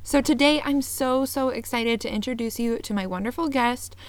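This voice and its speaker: An upbeat woman hosts her podcast in a bright, fairly high voice. She sounds earnest and eager, and the sound is close and dry with no room around it. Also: normal speed, dry recording. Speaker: podcast host. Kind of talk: scripted intro then casual interview.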